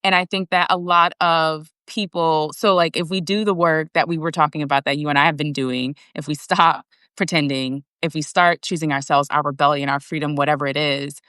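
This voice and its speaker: A woman with a notably low voice explains her thinking in an earnest, steady way. The sound is dry and close, as over a video call. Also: brisk, hardly any pauses, clean tone, dry recording. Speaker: guest and author. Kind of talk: casual conversation on a live video.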